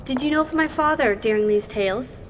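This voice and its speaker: An actress playing an eager, earnest young apprentice scholar in a fantasy audio drama, formal and deferential. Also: female voice, high voice, brisk, natural voice. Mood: earnest, curious. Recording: long hall reverb, faint background noise.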